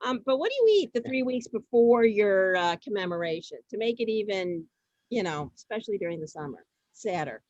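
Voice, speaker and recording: A woman hosting an amateur theater event informally, halting and often repeating her words as she goes. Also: medium pitch, big pitch swings, darkish tone, no echo, highs cut off. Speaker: host introducing performers.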